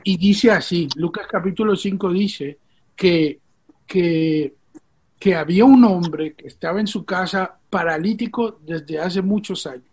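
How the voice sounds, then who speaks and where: A man's voice: high voice, unhurried, darkish tone, slight background noise. A man, born in Venezuela and raised in Rico, hosting the conversation and telling a story in an earnest, heartfelt way. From Venezuela, raised in Puerto Rico. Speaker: host.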